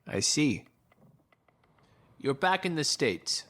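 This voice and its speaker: A man's voice: fairly high pitch, sing-song, takes it slowly, a bit bright, exaggerated voice. A man acting a chipper, needling lawyer in an audio drama, breezy, sarcastic and boastful. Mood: probing.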